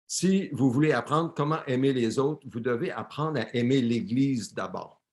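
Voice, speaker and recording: A man interprets a guest preacher live for a church congregation, with a mid-pitched voice. He often repeats words and corrects himself mid-phrase as he translates. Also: normal speed, darkish tone.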